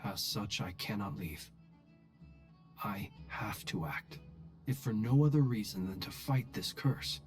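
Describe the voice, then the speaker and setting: An actor voicing an unflappable, wry and courteous adventurer in a video game, with understated, formal phrasing. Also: male voice, lowish voice, animated, unhurried, soft background music. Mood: grave, resolute. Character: unflappable, wry, courteous male lighthouse-dwelling adventurer with a curse.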